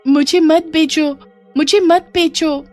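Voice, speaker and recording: A woman voicing a friendly, powerful ghost kite with dramatic, drawn-out delivery in a storybook tale. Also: high-pitched, fairly even intonation, darkish tone, voice pushed up. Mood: pleading. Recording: music behind the voice.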